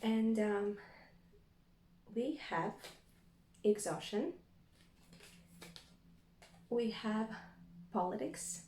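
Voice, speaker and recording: A woman's voice: a little high, drawn-out words, long pauses, darkish tone, roomy sound, a little hiss or hum. Woman reading tarot. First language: Latvian. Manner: reflective, thinking aloud while reading cards. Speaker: tarot reader. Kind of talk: tarot reading addressed to viewers.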